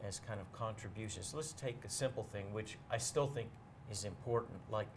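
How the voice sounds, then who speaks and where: A man in a casual interview, talking as a bookseller guest in a measured, explanatory way and pausing to choose his words. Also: fairly low pitch, noisy background.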